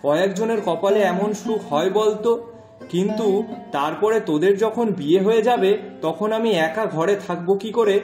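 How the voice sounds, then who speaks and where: Guy doing a kindly cartoon village dad, high voice, soft and reassuring, roomy echo. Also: moderate pace, close to an everyday voice. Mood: tender, pensive. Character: gentle, devout middle-aged village fisherman, loving father of two. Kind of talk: Bengali moral-story cartoon dialogue. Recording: roomy echo, music underneath.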